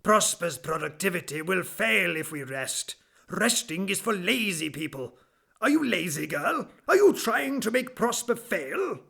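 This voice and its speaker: A man playing a pompous, belittling town official in a family audio drama, with a condescending, deliberate delivery. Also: sits high, sing-song, creaky, pushed and bright, heavily acted. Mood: scornful.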